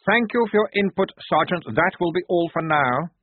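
A man voicing a pompous, fussy young army lieutenant with a prim, self-important, high-strung manner. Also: moderate pace, husky. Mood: fussy, commanding. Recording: tinny phone-like dry sound.

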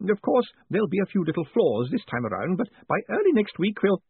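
Bright bumbling shopkeeper put on by a man, high and eager, thin phone-like sound. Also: wildly swooping pitch, fast, creaky, husky, no room sound, full character voice. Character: kindly, enthusiastic male print-shop owner. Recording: tinny phone-like sound, dry.

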